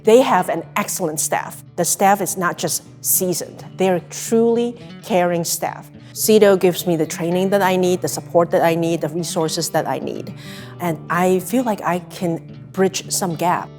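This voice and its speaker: A woman's voice: on the low side, measured pace, a little mellow. Woman from Hong Kong, music behind her. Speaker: volunteer attorney. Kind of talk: testimonial interview.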